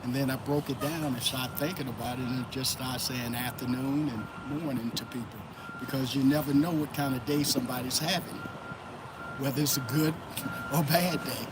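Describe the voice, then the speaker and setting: A man's voice: medium pitch, fairly even intonation, normal speed. A man from Florida hosting a casual motivational livestream, talking loosely and greeting chat viewers by name. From Florida, USA. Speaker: livestream host. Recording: loud traffic noise outdoors. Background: loud traffic noise.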